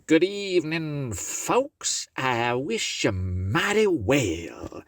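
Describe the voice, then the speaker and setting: A man's voice: a little high, very animated, drawn-out words, no room sound, studio quality, voice pushed up, full character voice. Man doing a blustering cunning fox. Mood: menacing.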